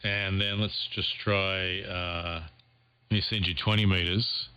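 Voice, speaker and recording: A man's voice: sits low, flat delivery, measured pace, sharp tone, smooth, dry recording, highs cut off. A man talking casually as an amateur radio operator, thinking aloud and repeating phrases as he goes. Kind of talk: casual ham radio contact.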